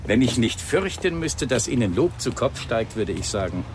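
An actor playing an older, dry and sardonic police inspector who gives gruff orders in a radio crime drama. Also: male voice, mid-range voice, very animated, normal speed, background noise, close to an everyday voice. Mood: musing, wry.

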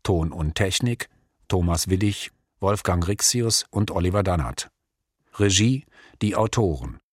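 A man's voice: low-pitched, animated, unhurried, dry recording, clean studio sound. A man reading radio drama credits as a scripted announcement, in a sober, formal manner.

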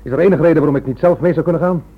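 An actor in a Dutch radio drama playing an evasive, defensive police inspector. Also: male voice, on the high side, very fast, breathy edge. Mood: indignant, clipped. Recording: dull, noisy old radio recording with hum.

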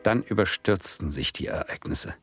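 An actor playing an excitable, boastful small-time speculator who tells his own story in a radio play, husky and theatrical. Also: sits low, moderate pace, soft background music. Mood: excited storytelling. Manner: theatrical, self-important. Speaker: narrator and lead actor. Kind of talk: 1970s German radio play, first-person narration and dialogue.

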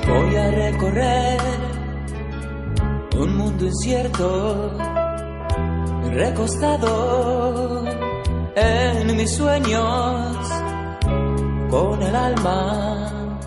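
A woman singing a slow song. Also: medium pitch. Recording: roomy echo, loud music accompaniment. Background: loud music.

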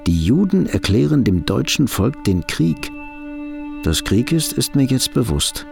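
A man's voice: medium pitch, big pitch swings, a little slow, creaky, over music. An actor playing an anxious, bitterly ironic fugitive businessman in a radio drama, thinking aloud in interior monologue.

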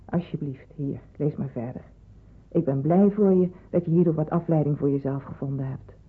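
A woman's voice: low voice, normal speed, breathy edge. An actress in a Dutch radio drama playing a down-to-earth woman with no taste for philosophy, speaking in a blunt, offhand way. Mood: weary, kindly. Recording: muffled, phone-like radio play recording.

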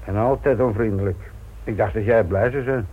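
A man's voice: lowish voice, moderate pace, husky. An actor playing a wry, teasing middle-aged police inspector in a Dutch radio play, nonchalant and colloquial in his talk. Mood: probing, wry. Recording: muffled phone-like old radio recording.

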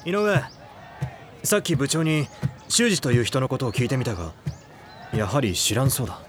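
An actor playing a stoic, blunt high-school boy in an audio drama, terse and calm. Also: moderate pace, a little hiss or hum, lightly acted. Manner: terse, calm, formal-sounding. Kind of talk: Japanese audio drama acting.

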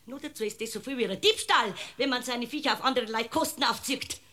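Indignant parish housekeeper, Bavarian accent, high-pitched, piercing, garrulous, scolding, radio drama. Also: female voice, fairly quick, pushed and bright. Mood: gossipy, self-righteous. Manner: garrulous, self-righteous, scolding. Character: indignant, gossipy female parish housekeeper from the countryside. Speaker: actor. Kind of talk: German radio drama acting.

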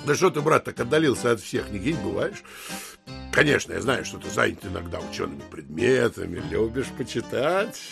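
An actor playing a brash, boastful uninvited guest who rambles familiarly and exclaims theatrically in an audio drama. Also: male voice, on the low side, lively intonation, a little fast, vocal fry, over music. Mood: excited, gossiping. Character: brash boastful adult man, chatty uninvited guest, lying braggart.

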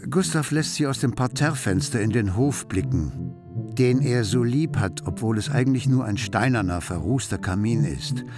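A man's voice: mid-range voice, animated, moderate pace, music in the background, natural voice. A man narrating a literary radio play in an observant, composed way.

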